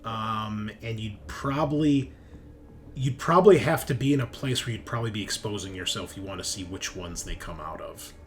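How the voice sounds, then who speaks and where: A man running a tabletop game, narrating off the cuff in a casual, halting conversational way. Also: lowish voice, a little hiss or hum. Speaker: game master.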